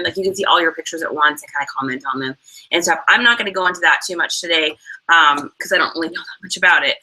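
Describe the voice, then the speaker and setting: A young woman hosts a casual online training, explaining things step by step in a chatty, filler-heavy way. Her voice is low for a woman but bright and forward. She laughs easily and keeps things informal. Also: slight background noise, rough recording. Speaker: co-host of an online sales training. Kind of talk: casual instructional talk.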